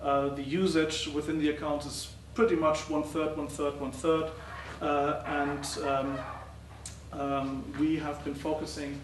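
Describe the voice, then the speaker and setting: A middle-aged man giving a conference talk as a professor presenting research, speaking haltingly with many uh and um fillers. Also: on the high side, little pitch movement. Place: lecture hall. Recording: roomy, distant, faint noise.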